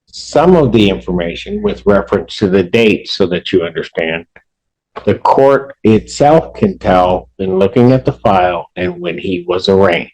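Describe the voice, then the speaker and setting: Male American judge, lowish pitch, stern courtroom delivery, admonishing and explanatory. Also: very animated, a little slow, gravelly, darkish tone, rough recording. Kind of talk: courtroom address from the bench.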